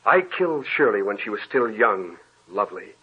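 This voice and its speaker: A man's voice: on the low side, big pitch swings, moderate pace, breathy edge, heavily acted. A man playing a tormented novelist in a 1940s radio drama. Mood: haunted. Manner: frantic then hushed, haunted. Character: haunted guilt-ridden male novelist, tormented. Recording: tinny old radio broadcast.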